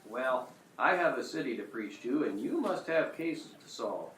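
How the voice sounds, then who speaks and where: A man's voice: mid-range voice, very animated, normal speed, a bit bright. Man as distant, roomy-sounding biblical prophet. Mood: friendly, sincere. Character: repentant but brooding male Hebrew prophet. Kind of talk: amateur audio drama acting. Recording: roomy, distant, noisy amateur recording.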